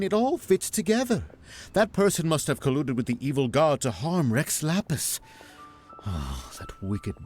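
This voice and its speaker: A man's voice: a little high, a little mellow. A man voicing a pondering, melodramatic townsman in a video game, theatrical and prone to repeating himself. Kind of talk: video game cutscene voice acting.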